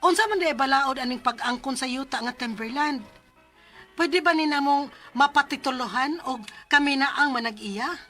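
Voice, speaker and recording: A woman's voice: sits high, moderate pace, pushed and bright, soft background music. A woman acting and narrating as an earnest, respectful young daughter in a Cebuano Filipino radio drama. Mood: troubled narration. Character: earnest, respectful young daughter telling her family's problem.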